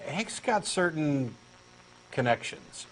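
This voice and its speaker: Brief: Texan man, earnest and pushy, bouncy fairly high delivery, faint hiss behind. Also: very animated, slow pace, fairly bright tone, low-quality recording. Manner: eager, insistent true believer, earnest and pushy. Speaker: co-host doing a comic dramatic reading. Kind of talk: comic scripted dialogue reading.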